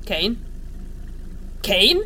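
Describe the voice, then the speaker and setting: A woman's voice: medium pitch, sing-song, extremely slow. A woman playing a wary, exasperated crewmate of a reckless driver in a cyberpunk audio drama. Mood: alarmed. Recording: noisy vehicle sound effects behind.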